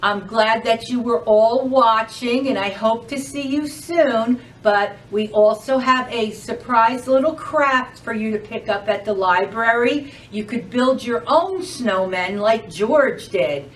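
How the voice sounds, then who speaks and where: A woman's voice: fairly high pitch, slow, hardly any pauses, far from the mic, rough recording. A woman reading a children's storybook aloud as a storytime reader, expressively and addressing the young listeners directly.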